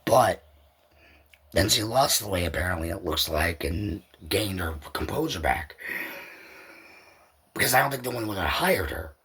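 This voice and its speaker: A man with a deep and heavily husky voice hosts a solo podcast. He speaks informally and without a script, wandering from point to point and often circling back to repeat himself. The delivery is loose and conversational, with a rough, breathy texture throughout. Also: expressive, moderate pace, pauses often, fairly bright tone. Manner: rambling, repetitive, informal. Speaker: podcast host. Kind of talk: unscripted solo podcast talk.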